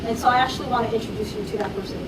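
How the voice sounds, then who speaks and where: A woman presenting a program to an audience, speaking slowly and with emphasis. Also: on the high side. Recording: distant mic in echoey hall, loud crowd and hum.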